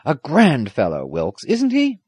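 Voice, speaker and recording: A hearty old country squire from a storybook, performed by a man, doting and excitable. He speaks in a raised, very animated voice with a dry, close sound. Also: a little high, measured pace, slightly rough, no echo, pitched above the performer's own voice, exaggerated voice. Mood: proud. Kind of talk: audiobook character acting.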